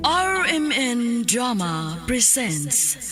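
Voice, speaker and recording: A woman's voice: on the high side, very animated, extremely slow, bright, forceful tone, soft background music. A woman announcing a radio drama in a dramatic, scripted style.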